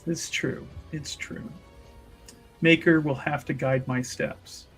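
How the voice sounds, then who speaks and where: A man's voice: fairly high pitch, fairly even intonation, takes it slowly, fairly bright tone, husky, faint music behind, full character voice. A man playing a humble, devout sister in a fantasy tabletop game, polite and formal in speech. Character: humble, devout religious sister, soft-spoken woman.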